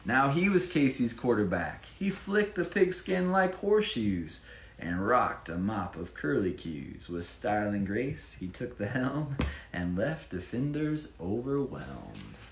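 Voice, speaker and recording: A man's voice: mid-range voice, big pitch swings, measured pace, vocal fry. A man, a children's book author, reading a rhyming picture book aloud with dramatic emphasis and informal asides. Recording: echoey room, faint background noise.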